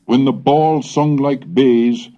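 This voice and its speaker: A man's voice: medium pitch, steady tone, dark tone. Man reading an old diary off a dull cassette. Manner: measured, deliberate reading that slows on dates. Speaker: reader of historical texts. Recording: dull lo-fi 1970s cassette recording.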